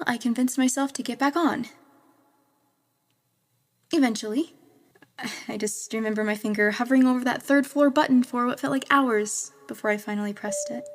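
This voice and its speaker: A woman's voice: on the high side, natural voice. A young woman acting as a wistful, regretful, hesitant young woman in an audio drama narrated in the first person. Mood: reflective, uneasy. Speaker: lead actress and narrator.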